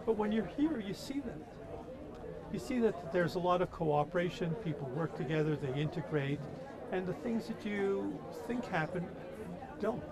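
A man's voice: high-pitched, animated, normal speed. An older man in his sixties, a guest outdoors at night, making an earnest, persuasive case with some hesitation. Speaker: interview guest, community supporter. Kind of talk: casual chat. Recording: outdoor crowd noise.

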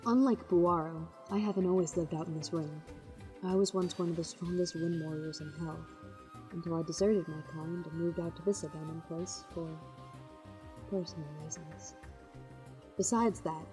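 A woman's voice: on the low side, mellow, lightly acted. A woman playing a proud, grave demon warrior in an audio drama, explaining things solemnly. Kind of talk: dramatized webcomic audio play. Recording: long reverb with music behind.

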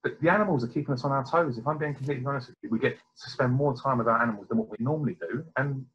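Easygoing man on a video call, bright and husky, dull lo-fi call audio. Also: mid-range voice, very fast. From England. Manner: easygoing, repeats himself. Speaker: podcast guest. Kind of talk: casual video call chat.

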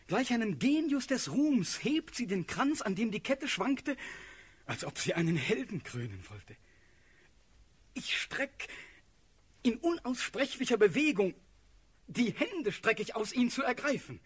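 An actor playing a dreamy, passionate young prince and cavalry officer in a radio play, declaiming classical verse in a halting, rapt way. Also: male voice, high voice, slightly rough, exaggerated voice.

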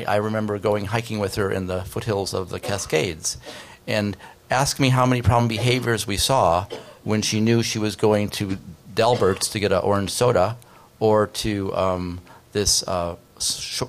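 Man, 50s, lowish voice, earnest researcher on panel, desk mic. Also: steady tone, moderate pace, vocal fry, slight background noise. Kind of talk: panel discussion. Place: conference room with wood panels. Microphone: desk microphone on stand.

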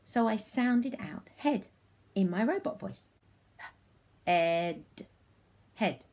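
A woman's voice: on the high side, lively intonation, a little slow, darkish tone, slightly rough. A British woman in her thirties leading an interactive phonics lesson for young children at home, encouraging and questioning, with exaggerated enunciation. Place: small room at home.

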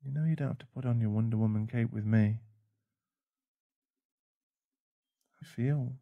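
Man acting a soft gravelly boyfriend, soothing. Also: mid-range voice, no room sound, phone-quality sound, lightly acted. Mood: shaken, anxious. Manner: soft, soothing, halting, sighing. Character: caring, protective, shaken boyfriend comforting a partner. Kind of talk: ASMR boyfriend roleplay, intimate hushed talk. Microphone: close mic.

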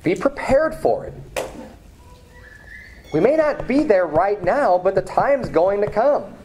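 A man in his thirties preaches at a pulpit in a small church hall, picked up by a camera some distance away. He drives his points home by repeating phrases and lets his pitch climb as he warms up. A faint hiss and a few clicks sit under the voice. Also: very animated, takes it slowly. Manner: emphatic preaching, repeats phrases, rises in pitch when stirred. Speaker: pastor. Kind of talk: sermon. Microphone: far camera, at a pulpit.

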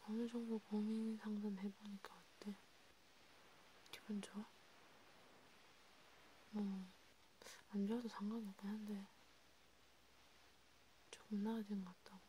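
A woman speaking in a hushed, rambling ASMR style, half whispering, as if chatting one-to-one with a listener. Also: mid-range voice, little pitch movement, a little slow, long pauses, slight background noise, rough recording. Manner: hushed, rambling, intimate one-sided conversation. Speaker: ASMR creator. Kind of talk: soft ASMR roleplay chat, partly whispered.

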